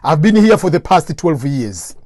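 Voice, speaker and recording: Higher-voiced Tanzanian man, motivational speaker. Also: sing-song, moderate pace, darkish tone, no echo, studio quality. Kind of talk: motivational talk to camera.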